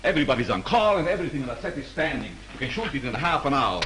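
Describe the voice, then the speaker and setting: An actor playing a calm, persuasive studio executive in an old 1930s film recording. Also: male voice, mid-range voice, rapid-fire. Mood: reasoning. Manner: soothing, persuasive. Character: calm studio executive, middle-aged man. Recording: scratchy 1930s film soundtrack.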